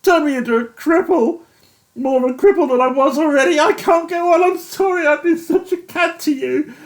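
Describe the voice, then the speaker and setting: A narrator voicing a young British army captain who is shattered and sobbing, in a high, wailing, breaking voice. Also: very animated, fairly quick. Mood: distraught. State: crying.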